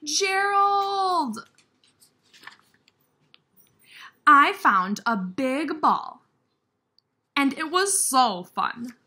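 Lady doing a little cartoony storybook pig, super high and dramatic, loud and kiddish, gets upset easily. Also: very animated, extremely slow, full character voice. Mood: excited. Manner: loud, exaggerated, childlike delivery. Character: small, dramatic, easily upset female pig, picture-book character. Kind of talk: children's storybook read-aloud.

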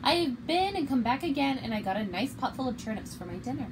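A clever little boy pig from a children's storybook, played by a woman, polite and emphatic. The voice is high and leaps around in pitch, with clear noise in the recording. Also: sing-song, quick pace. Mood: plucky. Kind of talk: children's storybook read-aloud.

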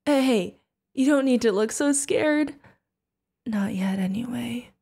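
A woman's voice: on the high side, takes it slowly, vocal fry, warm tone, slightly rough, full character voice. A woman voicing an insane, sadistic female yandere killer in an ASMR roleplay, creepy and giggling, with teasing, singsong threats. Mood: coaxing, eerie. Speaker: voice actor.

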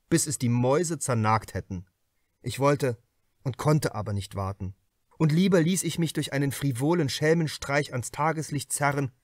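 A man voicing an earnest, self-assured young writer on trial in an audiobook, measured and articulate. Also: medium pitch, dry recording, clean studio sound, lightly acted. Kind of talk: acted audiobook reading.

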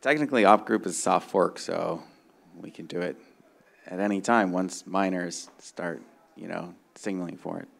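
Low, creaky-voiced middle-aged man. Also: normal speed, fairly bright tone. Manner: explanatory, checks understanding with "right?". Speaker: conference panelist, software developer. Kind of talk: informal technical panel explanation. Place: conference room stage before a seated audience. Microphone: handheld microphone.

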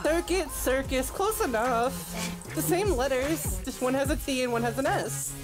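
A young woman with a high voice chats casually as she plays a game. Her banter is blunt and full of swearing, and music plays behind her. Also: animated, measured pace. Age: young adult. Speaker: gamer. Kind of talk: casual gaming chat.

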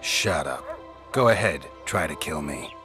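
An actor playing a stoic, curt monster hunter in a video game, with a terse, deadpan delivery. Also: male voice, rumbling low, takes it slowly, faint music behind, heavily acted. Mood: curt, defiant. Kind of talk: video game character acting.

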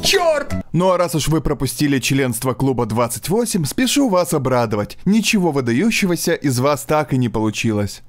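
A man around thirty delivering a scripted comedic monologue with exaggerated, animated complaining as a video host. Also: mid-range voice, fairly quick, hardly any pauses, faint music behind. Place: small foam-treated home studio.

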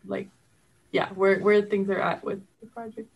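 A woman's voice: mid-range voice, flat delivery, moderate pace, creaky, darkish tone, husky, dull audio. Female interview guest, mid-range voice, informal and conversational. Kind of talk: casual conversational interview talk.

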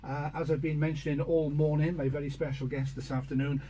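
A middle-aged man hosting a local radio show from a small studio, friendly with brisk presenter patter. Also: fairly high pitch, level tone, dark tone, slight background noise. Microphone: studio boom mic.

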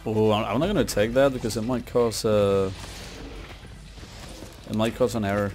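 A man's voice: lowish voice, fairly even intonation, normal speed, darkish tone. A man giving casual, laid-back gaming commentary, muttering to himself as he plays. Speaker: gamer narrating own gameplay. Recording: game music and gunfire behind. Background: zombie shooter game music and gunfire.

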